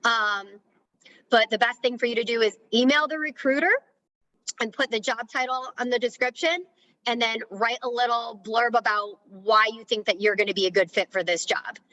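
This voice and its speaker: Bright-voiced woman recruiter giving tips. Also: a little high, steady tone, moderate pace, poor audio. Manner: direct, practical advice. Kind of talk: giving job search tips on a webinar. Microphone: video call.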